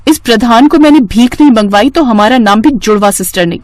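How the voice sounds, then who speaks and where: A woman's voice: a little high, fairly quick, darkish tone, no echo, studio quality, pitched above the performer's own voice, close to an everyday voice. A woman voicing a righteous, devoted young village daughter in a dramatized story, earnest and defiant. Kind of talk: dramatized moral story acting.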